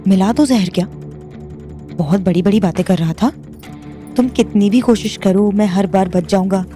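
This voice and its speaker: A woman's voice: medium pitch, normal speed, mellow, faint music behind, close to an everyday voice. An actress playing a bitter, vengeful young woman in an audio drama, with a cold, guarded manner. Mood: brooding, scheming.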